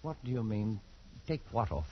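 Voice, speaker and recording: An actor playing a haunted but defiant colonial adventurer, telling his tale with tense dramatic pauses in old radio style. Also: on the low side, very animated, husky. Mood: alarmed. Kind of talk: 1940s radio acting, dramatic first-person narration. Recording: dull old radio recording, faint music.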